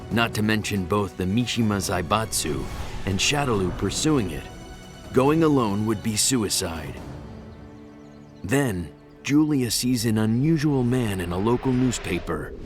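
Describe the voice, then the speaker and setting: A man's voice: fairly low pitch, expressive, unhurried, music in the background. A man reading scripted story narration as the narrator of a video game.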